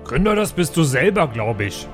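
A man's voice: fairly high pitch, big pitch swings, moderate pace, faint music behind. A man playing a simple, fight-loving dwarf brother in an improvised fantasy audio drama, blunt and teasing.

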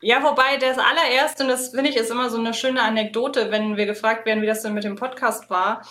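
A woman's voice: on the high side, fairly even intonation, fairly quick, fairly bright tone. Woman chatting in an echoey room. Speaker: podcast co-host and editor, as interview guest. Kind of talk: casual interview chat. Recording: roomy, echoey sound.

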